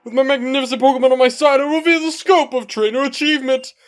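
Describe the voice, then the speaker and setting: A man's voice: very high voice, fairly even intonation, fast talker, soft background music, voice pushed up. A man voicing a chivalrous, honorable knight from a video game, declaiming pompously in archaic style. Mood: proud, gallant. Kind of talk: video game character reel.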